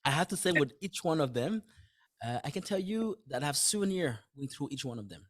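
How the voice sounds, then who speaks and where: A man's voice: a little high, big pitch swings, brisk. A man whose first language is French, a guest on a podcast, talking casually in simple words and often restarting his phrases. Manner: thinks aloud in simple words, with frequent restarts and light laughs. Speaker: podcast guest, dentist and author. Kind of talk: casual interview conversation.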